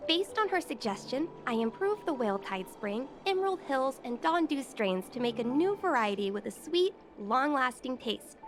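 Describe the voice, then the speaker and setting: A woman voicing a cheerful, earnest young tea house owner in a video game, with an expressive, welcoming manner. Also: high voice, moderate pace, hardly any pauses. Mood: warm, proud. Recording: game music behind the voice.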